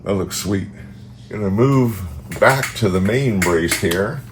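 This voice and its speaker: A man's voice: on the low side, slow, noisy background. A man with a stuffed-up nose from allergies, hosting a casual how-to vlog in his garage and thinking aloud with fillers. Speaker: car channel host. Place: home garage beside a car.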